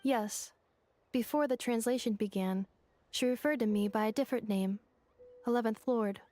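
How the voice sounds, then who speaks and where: A woman voicing a polite, logical robot girl in a video game, speaking in measured, formal, matter-of-fact statements. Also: fairly high pitch, a little mellow, close to an everyday voice. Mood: calm, factual.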